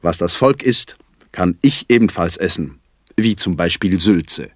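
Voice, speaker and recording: A self-ironic poet with a low voice, recorded close and dry. He muses aloud in a theatrical literary recitation, deliberate and articulate, with wry relish for small things. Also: lively intonation, no room sound. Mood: savouring, chatty.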